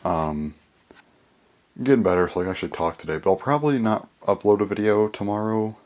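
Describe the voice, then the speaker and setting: Young male YouTuber, hoarse and very deep-voiced, rambling casual vlog chat. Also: brisk, a little mellow. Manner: rambling, full of fillers and restarts. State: hoarse, voice mostly gone.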